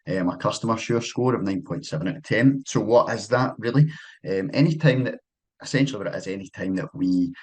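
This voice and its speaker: A man's voice: low voice, darkish tone, husky. A man with a Scottish accent, an account manager giving a webinar presentation in a loose, conversational way with many fillers and restarts. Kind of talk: casual presentation.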